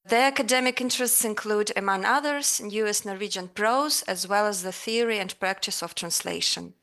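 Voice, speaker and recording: A woman hosting an interview, politely reading a guest introduction and asking measured questions. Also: medium pitch, a bit bright. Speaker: podcast interviewer.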